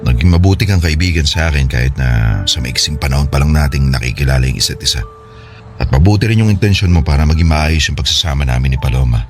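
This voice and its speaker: A man's voice: extremely low pitch, lively intonation, fast talker, soft background music. A man playing an earnest, regretful separated husband and devoted father in a radio drama, speaking in a confiding, hesitant way. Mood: apologetic. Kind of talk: Filipino radio drama dialogue.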